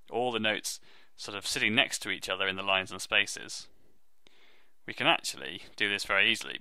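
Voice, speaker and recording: A man with a low, deep voice and a strikingly bright, crisp tone teaches music theory. He explains each step in a friendly, modest way. The recording is close and dry, with no room sound. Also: dry recording. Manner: friendly, self-deprecating, steady explaining while drawing. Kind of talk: online music theory lesson.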